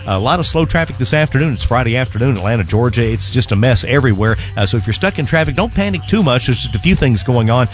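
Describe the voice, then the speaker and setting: A man's voice: medium pitch, lively intonation, fast talker, hardly any pauses. A man giving a live radio traffic report in an informal, conversational way. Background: light music bed.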